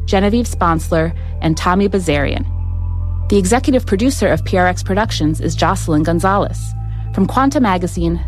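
A woman with a fairly low voice reads podcast credits at a brisk, even pace. Soft music plays faintly behind her. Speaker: senior editor and podcast host. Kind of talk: scripted credits read.

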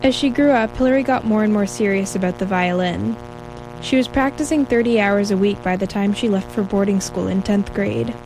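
A woman reporter reading scripted narration in a clear, measured storytelling manner. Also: medium pitch, noisy background. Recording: music bed underneath.

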